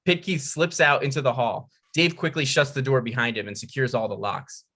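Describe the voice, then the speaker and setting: A man's voice: mid-range voice, fairly quick, bright, forceful tone, breathy edge. A man reading out scripted stage directions as narrator at a comedy table read.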